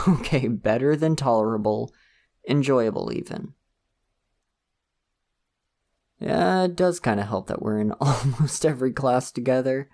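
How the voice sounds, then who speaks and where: A man's voice: medium pitch, lively intonation, moderate pace, creaky. A young man voicing a friendly, slightly shy college student in an ASMR roleplay, talking casually and laughing often. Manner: casual, laughs often, soft-spoken and chatty.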